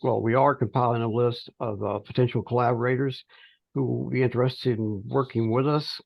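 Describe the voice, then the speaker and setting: Male, middle-aged, creaky mid-pitched voice, halting informal teleconference report, close dry sound. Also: steady tone, dry recording. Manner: halting, hesitant delivery with pauses mid-phrase. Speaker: amateur radio project volunteer. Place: remote teleconference call. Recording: dull, band-limited teleconference audio.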